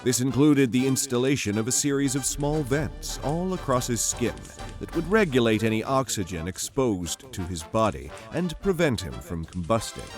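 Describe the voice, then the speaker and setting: A man's voice: mid-range voice, big pitch swings, normal speed, few pauses. A man giving scripted, storytelling narration for a cinematic audio drama. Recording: music bed under narration.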